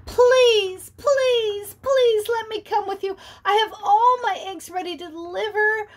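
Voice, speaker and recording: A woman voicing an excitable male Easter Bunny who pleads and protests in a squeaky, breathless voice. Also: slow pace. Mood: pleading.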